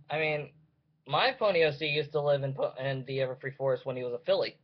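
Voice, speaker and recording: A man's voice: medium pitch, steady tone, fairly quick. A young man chatting casually as a reaction video host, hesitant and self-deprecating, often breaking off mid-sentence. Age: young adult. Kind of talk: casual, rambling reaction chat. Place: indoors in a room at home. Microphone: webcam or computer mic. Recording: dull lo-fi webcam audio.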